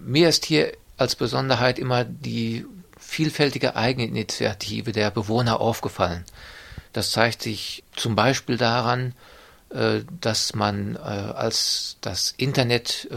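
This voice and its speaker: A man giving measured, formal interview answers with frequent hesitations. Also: medium pitch, steady tone, vocal fry, a bit bright. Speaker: interviewee describing the student residence.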